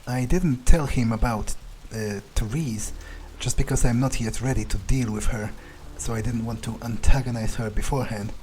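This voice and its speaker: A man's voice: mid-range voice, moderate pace, few pauses, a little mellow, a little hiss or hum, natural voice, low-quality recording. A man voicing a brash, wary young fledgling vampire while playing a game in character, casual and blunt. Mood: cocky, musing. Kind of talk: in-character gameplay commentary and roleplay dialogue.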